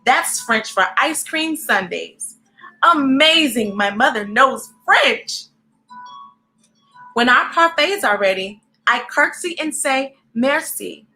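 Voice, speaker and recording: Bright, high-voiced woman reading to children. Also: slow, faint music behind, poor audio. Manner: animated, encouraging, addresses children directly. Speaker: children's read-aloud host. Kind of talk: expressive storybook reading for children.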